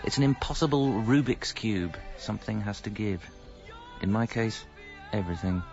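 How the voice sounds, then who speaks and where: An actor as a low-voiced, rueful British family man. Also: normal speed, darkish tone, lightly acted. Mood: rueful, confessional. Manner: rueful, confessional, wry. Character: guilt-ridden married father narrating his double life. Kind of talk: scripted first-person narration and acting in radio comedy drama. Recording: dull, muffled audio with music.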